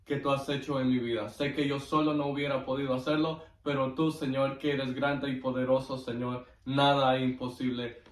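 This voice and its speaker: A man's voice: medium pitch, little pitch movement. Man, distant echoey recording. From Guatemala. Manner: devout, earnest, halting. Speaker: interview guest giving testimony. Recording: roomy echo, distant microphone.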